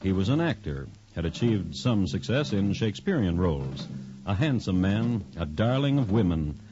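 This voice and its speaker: A man playing a dry, wry crime storyteller, narrating in 1950s radio style with deliberate phrasing. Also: low-pitched, sing-song, over music. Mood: dry, storytelling. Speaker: host and narrator. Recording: dull, faintly hissy 1950s radio recording.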